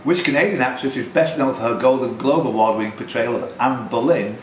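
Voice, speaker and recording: A man's voice: medium pitch, fairly quick. A man hosting a pub quiz, reading out questions and scores informally, with chatty asides. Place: indoor pub. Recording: roomy pub recording with background noise.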